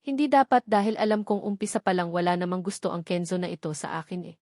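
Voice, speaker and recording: Lady reading a romance story out loud, dry sound no room echo. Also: on the low side, fast. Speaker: audiobook narrator. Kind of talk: scripted first-person romance narration.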